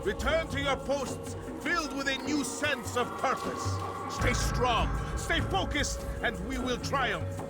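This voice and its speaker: Male game role: zealous medieval warlord, imperious, animated delivery over loud music. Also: extremely high pitch, slow, hardly any pauses, full character voice. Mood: stern, commanding. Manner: commanding, declamatory. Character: haughty, zealous male crusader commander, self-righteous regent. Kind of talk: dramatic video game acting.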